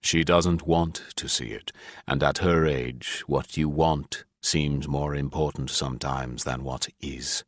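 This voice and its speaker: A man voicing a calm, thoughtful husband and father in an audiobook, speaking in a dry, measured way. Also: dry recording. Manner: dry, low-key, measured.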